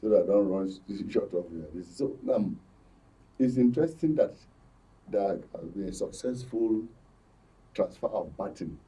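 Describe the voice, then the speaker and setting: A man with a Nigerian accent giving political commentary as a studio guest, halting but insistent, often repeating words. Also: on the low side, animated, mellow, slightly rough, recorded from a distance, rough recording. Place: radio and TV studio.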